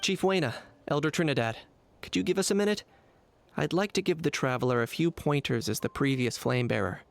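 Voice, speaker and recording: A man voicing a cool, composed young warrior guide in a video game, measured and matter-of-fact. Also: a little high, gravelly, no echo. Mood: calm, instructive. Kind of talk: video game character acting.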